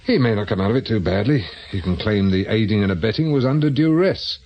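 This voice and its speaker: A man's voice: fairly low pitch, expressive, fast talker, highs cut off. A British man acting in a radio drama as a world-weary, sardonic private investigator who used to be a policeman. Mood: dry, matter-of-fact. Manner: dry, sardonic. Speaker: actor.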